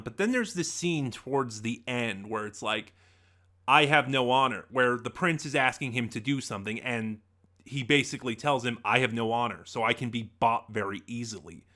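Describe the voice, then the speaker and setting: A man's voice: medium pitch, moderate pace, sharp tone. A man giving a casual spoken review as a channel host, conversational and opinionated, thinking aloud as he goes.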